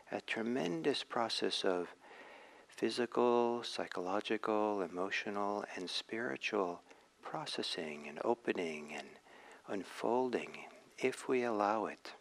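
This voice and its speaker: Low, slow-speaking man guiding meditation. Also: low-quality recording. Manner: measured, reflective, with frequent pauses. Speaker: meditation teacher. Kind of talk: guided meditation talk.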